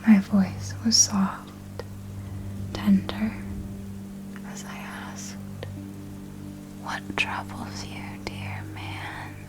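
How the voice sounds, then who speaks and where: A woman's voice: medium pitch, flat delivery, drawn-out words, long pauses, low-quality recording. A woman playing a seductive, manipulative vampire mentor in an intimate, coaxing ASMR roleplay whisper, close to the microphone. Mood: wistful, predatory.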